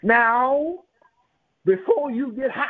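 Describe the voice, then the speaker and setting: A man preaching a sermon, building emphatic, rhythmic repetitions and rising to sharp peaks. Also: extremely high pitch, expressive, slow pace. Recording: tinny phone-like radio broadcast.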